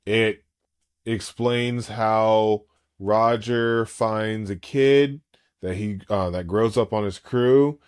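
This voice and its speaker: A man in his late thirties chatting casually as a podcast co-host, an animated and gushing fan. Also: on the low side, slow pace, smooth. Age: 30s.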